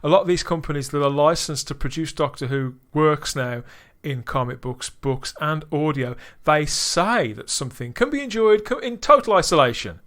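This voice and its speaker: Brief: male podcast host, slightly higher voice, keen and chatty, keeps asking the others. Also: animated, normal speed, fairly bright tone. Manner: enthusiastic, drawing in co-hosts with tag questions. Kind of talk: casual review discussion.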